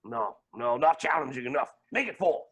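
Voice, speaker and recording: A man playing a flamboyant, menacing and unhinged billionaire villain in a staged play reading, with a raspy, creaky voice and grandiose delivery. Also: medium pitch, lively intonation, normal speed. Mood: breezy command. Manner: theatrical, grandiose, swinging from mutters to shouts.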